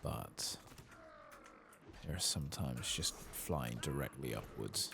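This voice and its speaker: A soft-spoken, hushed man giving unhurried, understated ASMR commentary over a video game. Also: very deep voice, creaky. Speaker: host of a gaming playthrough channel. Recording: faint background noise.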